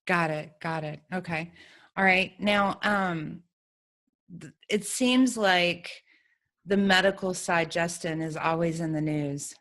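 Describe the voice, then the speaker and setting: A woman's voice: lowish voice, slow, fairly bright tone. A woman hosting an interview in a casual style, putting questions to her guests. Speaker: interview host.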